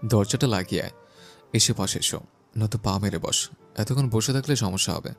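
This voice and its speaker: Deep, gravelly young husband, bedridden, curt but caring; storybook audio, faint music under. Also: female voice, a little fast. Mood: firm, gentle. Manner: firm, curt, scolding tenderly. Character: young adult husband, injured, gruff and caring.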